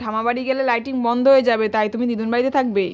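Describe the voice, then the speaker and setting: A woman's voice: fairly high pitch, fairly even intonation, racing, highs cut off, a crowd in the background. A woman chatting casually with a small child and with viewers, playful and indulgent, often echoing the child's words back. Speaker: family vlogger filming a child at play.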